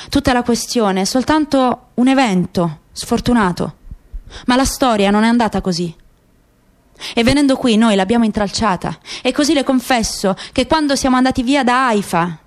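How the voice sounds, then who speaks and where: A woman's voice: on the high side, close to an everyday voice. Woman voicing solemn, proud, disillusioned father. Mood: grave resignation.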